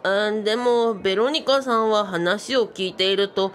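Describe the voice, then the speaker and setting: A woman's voice: medium pitch, a little slow, natural voice. A woman voicing an eager, bookish boyish male sidekick who speaks politely with quirky sentence endings in a comic radio drama. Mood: puzzled, earnest.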